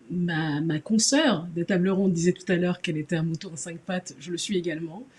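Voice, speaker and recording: A woman's voice: lowish voice, moderate pace, a little mellow. A woman from Nigeria, English-speaking from birth, speaking as a journalist on a conference panel, hesitant with many fillers and restarts. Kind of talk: panel discussion.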